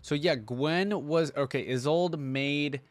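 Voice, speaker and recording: A man's voice: on the high side, slow pace, no echo, faint music behind. A man hosting a reaction video, giving casual, appreciative commentary and musing aloud.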